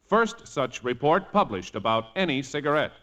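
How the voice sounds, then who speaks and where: A man reading a scripted 1950s radio advertisement in a formal, clinical, measured manner. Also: mid-range voice, sing-song, bright, forceful tone. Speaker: commercial voice quoting a specialist's report.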